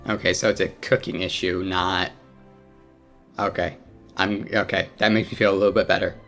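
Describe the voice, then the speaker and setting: A man's voice: low voice, little pitch movement, moderate pace, a bit bright, faint music behind. Casual man commentating over a game. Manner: informal, rambling. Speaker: gaming channel host.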